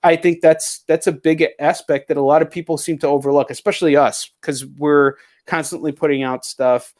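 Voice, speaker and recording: Higher-voiced man, blunt casual podcast host. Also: steady tone, normal speed, darkish tone. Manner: rambling, heavily profane, blunt. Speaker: show host. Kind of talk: casual video chat interview.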